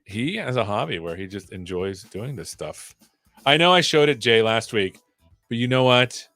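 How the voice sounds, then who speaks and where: A man's voice: medium pitch, animated, normal speed, a bit bright, no echo, faint music behind. A man hosting a casual livestream, rambling playfully with jokey asides. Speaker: live show host. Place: own room at home.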